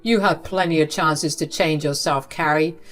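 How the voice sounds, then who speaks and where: A woman's voice: low-pitched, fairly even intonation, normal speed, a bit bright, faint music behind. A woman voicing a stern, wealthy elderly father who scolds firmly. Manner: firm, scolding.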